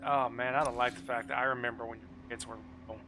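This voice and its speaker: A man's voice: mid-range voice, little pitch movement, fast, music in the background. A man chatting casually with friends while gaming online, joking and swearing freely in a salty way. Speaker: gamer playing online with guildmates.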